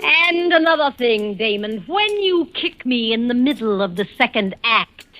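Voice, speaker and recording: An actress playing a spirited, fragile, high-strung stage actress in 1940s radio drama style, with emotive, crisp theatrical phrasing. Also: female voice, very animated, a little slow, fairly bright tone, soft background music. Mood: indignant.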